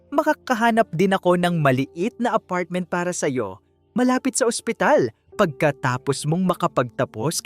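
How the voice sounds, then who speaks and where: A narrator voicing an earnest, determined man in an audiobook, speaking in a gentle, measured, reassuring way. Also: mid-range voice, natural voice.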